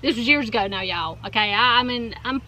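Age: 40s. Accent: Southern US. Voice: high and bright. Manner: animated storyteller. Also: female voice, a little fast, a little hiss or hum. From North Carolina. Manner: animated storyteller, addresses viewers as y'all. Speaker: co-host. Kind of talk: casual storytelling chat. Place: outdoors, seated in a shaded golf cart. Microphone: fixed camera in front.

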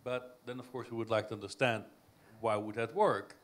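A man's voice: fairly low pitch, fairly even intonation, normal speed. A man in a lecture hall asking the speaker a long, probing academic question. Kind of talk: academic discussion.

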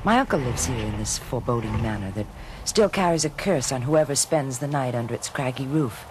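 A melodramatic femme fatale played by a woman in a spoof radio mystery. Her delivery swings widely in pitch over clearly noisy ambience. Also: expressive, a little fast. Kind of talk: mock film-noir radio drama.

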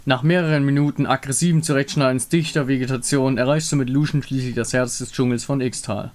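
A man's voice: medium pitch, steady tone, quick pace. A man giving scripted narration of a game story.

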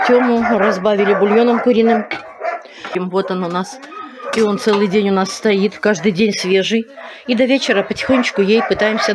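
A woman at an animal shelter talks to viewers in a soft, tender, reassuring way as she tends a sick dog. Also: medium pitch. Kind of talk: addressing viewers while caring for a sick dog. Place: shelter room indoors. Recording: loud background noise.

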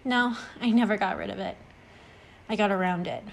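Reassuring friend for short-story audio, played by a woman: encouraging, explanatory, mid-pitched. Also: moderate pace, creaky, natural voice. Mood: earnest. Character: reassuring, talkative friend who overcame extreme anxiety. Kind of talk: acted short-story dialogue reading.

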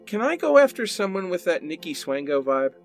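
A man's voice: high voice, very animated, brisk, soft background music. A man playing an amiable, sardonic husband in a radio play. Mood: accepting, wry. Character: amiable, sardonic husband making peace with heartbreak.

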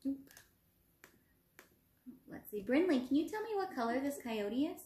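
Animated young woman teacher, high voice. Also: measured pace, slight background noise. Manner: encouraging. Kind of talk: read-aloud and questioning for young children. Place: small room at home, indoors. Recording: roomy home recording.

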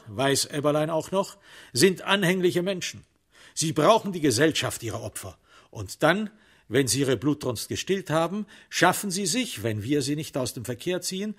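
A man narrating a literary crime radio play in a measured, dramatic way, with pointed pauses. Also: fairly high pitch, a bit bright, slightly rough.

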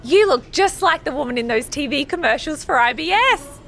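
A woman's voice: sits high, animated, normal speed, gravelly, a bit bright, slight background noise, heavily acted. An actress voicing a catty, condescending female wrestler who mocks her rival in a video game. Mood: mocking. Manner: mocking, sneering.

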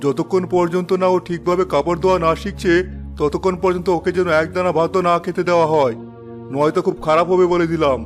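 A man plays a tyrannical cartoon father with a high voice. He is harsh, accusing and domineering, scolding his family over faint music. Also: fairly even intonation, quick pace, lightly acted. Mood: angry, scolding. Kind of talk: cartoon dub acting.

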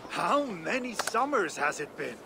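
An actor playing a genial, reflective older Danish king in a game, speaking in a stately, unhurried way. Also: high voice, big pitch swings, creaky, noisy background, full character voice. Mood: amused, assured. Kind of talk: video game cutscene acting.

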